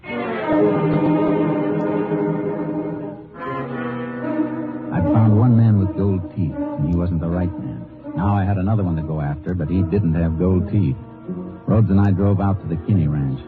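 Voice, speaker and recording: Actor as deep-voiced, terse Texas Ranger. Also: male voice, normal speed, close to an everyday voice. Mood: grave narration. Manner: terse, matter-of-fact. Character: steady, determined Texas Ranger lawman in a western. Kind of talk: old-time radio western acting with narration. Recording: muffled vintage radio recording with music.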